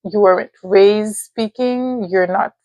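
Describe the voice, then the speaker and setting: Haitian-accented woman vlogger, calm and deliberate, slow talk, dry room sound. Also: mid-range voice, no echo, highs cut off. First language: Haitian Creole and French. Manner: slow, thoughtful, with pauses and fillers. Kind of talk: casual advice talk to camera.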